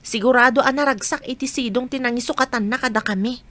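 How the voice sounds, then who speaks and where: A patient young mother who has been hurt , played by a woman, in an Ilocano radio drama. Her voice is fairly high and bright, and she speaks earnestly. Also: quick pace, a little hiss or hum, natural voice.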